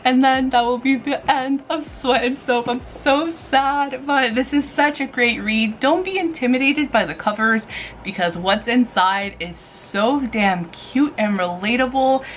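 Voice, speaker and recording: Female vlogger, fairly high voice, enthusiastic casual reviewer delivery, audible background noise. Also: measured pace. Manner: enthusiastic, informal, gushing. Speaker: manga reviewer and video host. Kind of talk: casual chatty recommendation vlog.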